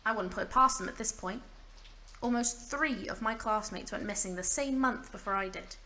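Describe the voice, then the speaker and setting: A bright, clear-edged mage voiced by a woman, marooned alone for centuries in an audio drama. She rambles to herself with bitter, wry self-mockery and a fragile edge, over noticeable background noise. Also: medium pitch, moderate pace, natural voice. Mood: bitter, distrustful. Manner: rambling, self-mocking asides, sighs. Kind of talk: audio drama monologue, naturalistic acting.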